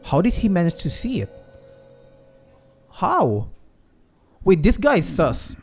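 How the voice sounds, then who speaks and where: Slightly high-voiced man reacting to a game. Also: wildly swooping pitch, takes it slowly, vocal fry, husky, soft background music. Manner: reactive, slangy. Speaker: gaming streamer. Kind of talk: casual game playthrough reaction.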